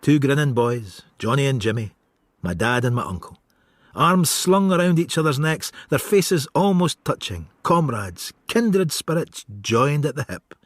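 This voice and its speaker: An actor playing a brooding, wry Scottish police detective in a radio drama, with a husky voice. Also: male voice, mid-range voice, very animated, a little slow, no echo. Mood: brooding, reflective. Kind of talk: radio drama acting with reflective narration.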